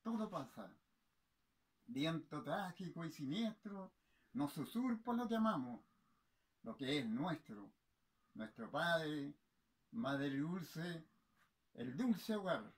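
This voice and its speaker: A man reciting patriotic poetry in a solemn, impassioned, oratorical manner, with theatrical delivery and dramatic pauses. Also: sits high, poor audio.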